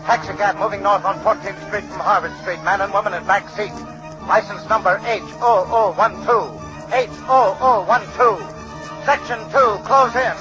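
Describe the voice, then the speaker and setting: An actor playing a brisk military dispatcher barking clipped orders in a 1950s radio drama. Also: male voice, high-pitched, steady tone, close to an everyday voice. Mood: urgent, commanding. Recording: muffled vintage radio with music.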